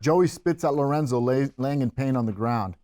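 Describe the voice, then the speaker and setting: A man serves as the narrator at a script table read. He reads scene headings and action lines at an average male pitch. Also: normal speed, warm tone. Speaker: stage-direction reader.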